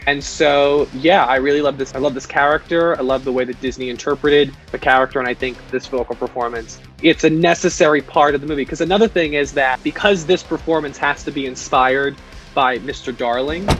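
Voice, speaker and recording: A man's voice: medium pitch, steady tone, a little fast, a bit bright, faint music behind. A man chatting casually about films, thoughtful and rambling, with many restarts. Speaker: guest.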